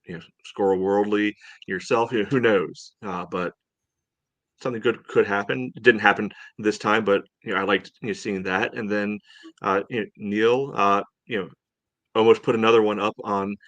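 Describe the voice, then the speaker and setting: A man co-hosting a podcast, casually analysing soccer in a halting way, often saying "you know". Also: fairly low pitch, fairly even intonation, a bit bright, no room sound, lo-fi sound. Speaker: podcast co-host.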